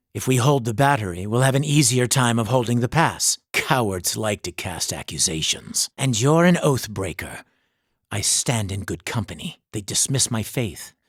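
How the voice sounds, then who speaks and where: An actor playing a proud, devout and haunted mercenary commander in a fantasy video game, speaking in a grave, formal, accusatory manner. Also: male voice, fairly low pitch, a bit bright, no echo, clean studio sound. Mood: proud, scornful. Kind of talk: dramatic fantasy game voice acting.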